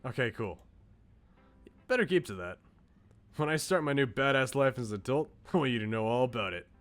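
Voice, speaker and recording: A man's voice: mid-range voice, lively intonation, fairly quick, a bit bright, natural voice. A man voicing a laid-back, loyal young guy in a visual novel, casual and earnest with slangy talk. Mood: upbeat.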